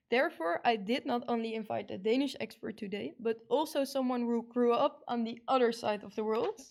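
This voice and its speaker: Higher-pitched young woman hosting radio. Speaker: radio show host. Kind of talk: upbeat show presenting and interviewing.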